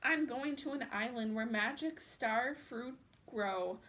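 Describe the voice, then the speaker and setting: A woman's voice: on the high side, takes it slowly, fairly bright tone, slight background noise. A woman reading the lines of a cheerful male bear on a journey aloud, in a sing-song storybook rhythm.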